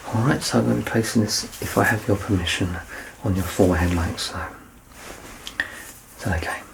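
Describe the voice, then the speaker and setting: Low, gravelly soft-spoken man. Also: background noise. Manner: soft-spoken, reassuring, explanatory. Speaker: ASMR roleplayer playing a doctor. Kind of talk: ASMR medical exam roleplay. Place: small curtained indoor room.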